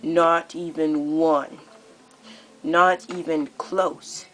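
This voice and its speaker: A woman's voice: low voice, flat delivery, drawn-out words, a bit bright, faint music behind, exaggerated voice. Young woman as a gloating, theatrical dragon queen. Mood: menacing, sweet. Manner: exclamatory, gloating, stretched-out words. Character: cruel, theatrical dragon queen, gleefully menacing.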